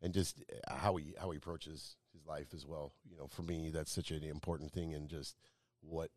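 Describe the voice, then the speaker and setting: Deep voice guy, podcast guest telling stories, effusive and casual, no room echo. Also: level tone, moderate pace, a little mellow. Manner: effusive, informal. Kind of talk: casual anecdotal chat.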